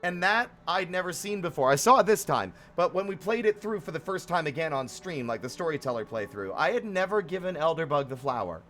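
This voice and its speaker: A man's voice: fairly high pitch, sing-song, brisk, hardly any pauses, a bit bright. A man chatting casually and expressively to viewers while live-streaming a game, with some swearing. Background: game music.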